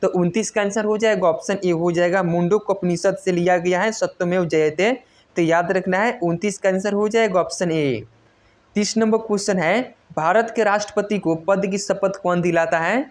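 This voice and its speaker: A woman's voice: on the low side, moderate pace, darkish tone. Woman coaching exam questions. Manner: instructional, repetitive emphasis on key answers. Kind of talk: quiz-style exam lesson, reading questions and giving answers.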